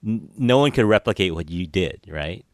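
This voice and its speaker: A man's voice: deep voice, lively intonation, normal speed, no room sound, studio quality. A man from the United States hosting a podcast, chatting casually and laughing often. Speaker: podcast host. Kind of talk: casual interview chat.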